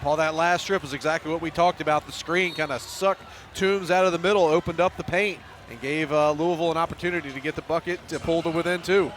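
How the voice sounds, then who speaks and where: Fast-talking male color analyst, arena noise behind. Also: on the high side, fairly even intonation, fairly bright tone. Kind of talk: live sports analysis. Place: courtside in a basketball arena.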